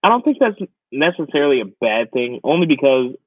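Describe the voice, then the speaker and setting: A young man chats casually as a podcast host, conversational and given to wry asides. His voice sits at an average pitch. The recording is close and dry, with a markedly muffled, narrow sound. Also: very animated, a little fast, husky, dry recording. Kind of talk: casual chat about pop culture.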